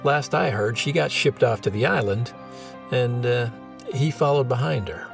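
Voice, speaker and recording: A woman voicing a matter-of-fact neighbor man with a gravelly voice. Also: very deep voice, moderate pace, faint music behind, voice pushed down.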